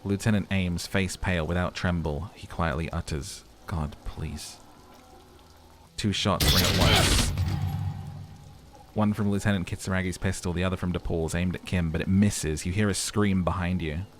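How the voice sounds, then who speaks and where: A man with a low voice plays through a story game aloud. He narrates with dramatic storytelling flair and slips into casual, sweary reactions as a let's player. Faint game noise sits behind him. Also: steady tone, normal speed. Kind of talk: game playthrough narration with commentary and voice acting.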